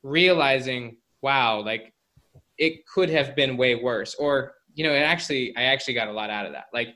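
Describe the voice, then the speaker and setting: Bright-voiced man explaining casually in an interview. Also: mid-range voice, normal speed. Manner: informal, filler-heavy with frequent "like" and "you know". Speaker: guest psychologist and author. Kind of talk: conversational interview explanation with anecdotes.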